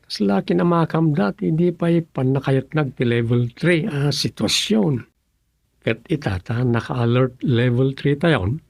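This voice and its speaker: An actor playing a sly, gossipy village neighbour in an Ilocano radio comedy drama, with a raspy, gravelly voice. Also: mid-range voice, animated, measured pace, darkish tone, no echo, clean studio sound.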